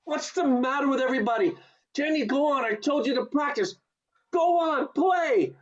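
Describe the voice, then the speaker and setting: A man's voice: very high voice, normal speed. A man playing a desperate, agitated family man in a radio play. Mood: irritated, snapping. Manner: agitated, pleading. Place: home recording over video call. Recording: dull lo-fi video call audio.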